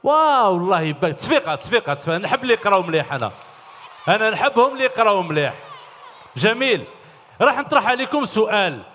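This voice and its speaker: A man's voice: high-pitched, very animated, quick pace, bright, forceful tone. A middle-aged man with an Algerian Arabic accent, hosting a children's stage show, cheerfully quizzing the kids. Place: large concert hall stage. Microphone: handheld mic. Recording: long hall reverb.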